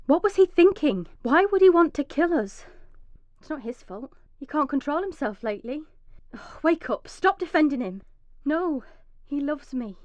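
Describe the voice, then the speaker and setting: An actress playing a frightened, injured young mother in a radio drama, emotional and swinging from hushed dread to pleading. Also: high voice, big pitch swings, normal speed, dry recording, highs cut off. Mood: bitter, anguished. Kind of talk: radio drama monologue with acted dialogue.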